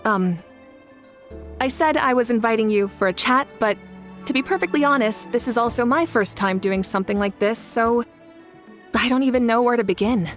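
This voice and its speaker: A woman playing a courteous, composed young leader in a video game, speaking politely in a measured, formal way. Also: on the high side, faint music behind, close to an everyday voice. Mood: hesitant, polite.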